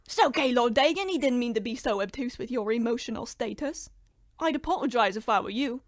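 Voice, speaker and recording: High-pitched, earnest little sweep monster, acted by a woman. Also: lively intonation, fairly quick, no echo, clean studio sound, natural voice. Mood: soothing. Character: chirpy, anxious little monstrous chimney sweep, gently loyal. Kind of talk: old-time radio horror comedy acting.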